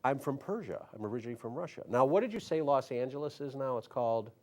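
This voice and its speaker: A man's voice: medium pitch, expressive, fast. A man hosting a casual talk show, rambling and cutting himself off mid-sentence. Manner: rambling, self-interrupting.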